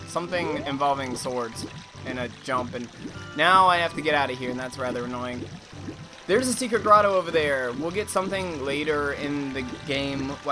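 A young man with a slight lisp giving casual, rambling commentary over a video game, swearing freely and now and then breaking into silly high voices. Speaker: let's play commentator. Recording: game music loud behind voice.

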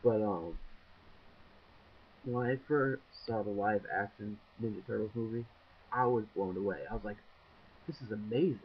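A man's voice: fairly low pitch, moderate pace, pauses often, husky. A man in his twenties, shy and rambling with lots of ums, talking to a webcam in a dim room. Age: 20s. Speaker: vlogger. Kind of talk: casual video blog. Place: small dim room indoors, window behind. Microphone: built-in webcam mic. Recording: dull lo-fi webcam audio.

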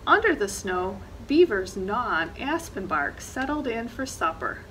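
A woman's voice: mid-range voice, big pitch swings, unhurried, pushed and bright, a little hiss or hum, low-quality recording. A middle-aged woman reading a children's picture book aloud expressively, with dramatic emphasis on action words. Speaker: librarian reading storytime. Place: indoor library reading corner, seated.

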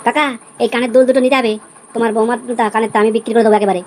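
Man voicing a high, rapid-fire scheming cartoon husband. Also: level tone, a little hiss or hum. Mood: haggling. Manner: brash, colloquial. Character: scheming young husband, bossy, foul-mouthed village schemer. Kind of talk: amateur comedy cartoon dubbing.

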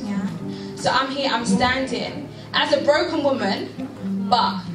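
A woman's voice: high voice, a little slow. Encouraging woman preaching in an echoey hall. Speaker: speaker at a women's conference. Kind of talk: inspirational church talk. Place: church hall stage, indoors. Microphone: handheld mic, filmed from far. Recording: echoey hall from far off, with music.

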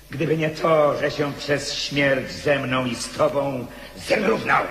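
A man's voice: fairly high pitch, a bit bright. A man playing the bitter ghost of a beheaded Polish nobleman in a radio drama, declamatory, anguished and accusing. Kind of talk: theatrical radio drama acting. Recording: echoey hall with long reverb.

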